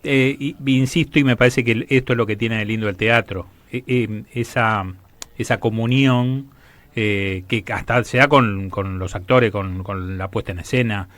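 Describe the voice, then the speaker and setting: Conductor argentino, sonido seco de estudio. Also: male voice, fairly low pitch, moderate pace, a bit bright, dry recording. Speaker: radio host. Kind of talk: casual interview chat.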